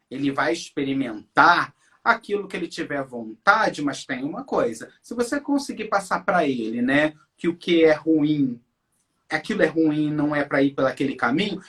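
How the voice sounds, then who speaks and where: A man's voice: fairly high pitch, a bit bright. A Brazilian man in his forties speaking as an interview guest, telling his story emphatically and often addressing the audience with tag questions. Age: 40s. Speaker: interview guest, English teacher. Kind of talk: conversational interview, personal storytelling.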